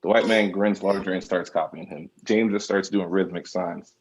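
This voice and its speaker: A man's voice: deep voice, fairly even intonation, moderate pace, breathy edge, dull audio. A man reading out screenplay directions and speaking casually as the writer at a table read. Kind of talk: screenplay narration.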